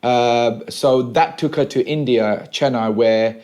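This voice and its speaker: A man around forty from Sri Lanka, a pastor and podcast guest, telling his story in a relaxed way with many pauses and "uh"s in casual conversation at home. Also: medium pitch. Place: a home room.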